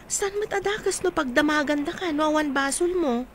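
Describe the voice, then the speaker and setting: High-voiced earnest young Ilocano woman, acted by a woman. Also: normal speed, a little hiss or hum, close to an everyday voice. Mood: probing, accusing. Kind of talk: Ilocano radio drama acting.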